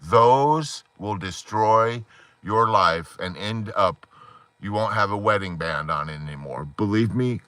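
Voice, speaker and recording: Fervent hoarse man, bright and dry. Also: fairly low pitch, slow pace, dry recording. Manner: fervent, rambling pep talk full of metaphors. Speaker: support group host. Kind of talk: motivational live stream talk. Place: inside a parked car at night.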